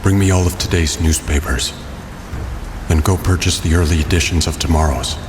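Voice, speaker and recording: A man's voice: deep voice, normal speed, background noise, natural voice. A man acting a grim, weary masked crime-fighter in an audio drama, terse and brooding. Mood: brooding, commanding. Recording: cavernous long reverb, music underneath.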